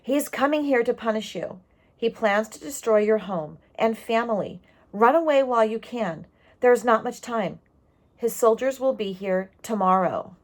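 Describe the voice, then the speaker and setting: Woman doing alarmed village neighbour, storybook, urgent, gasping, higher pitch, forceful warning. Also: animated, moderate pace, natural voice.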